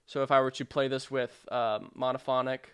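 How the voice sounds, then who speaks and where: Clear-voiced man giving a dry tutorial. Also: mid-range voice, flat delivery, fairly quick, fairly bright tone, dry recording. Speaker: sound design tutorial presenter. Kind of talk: software tutorial narration.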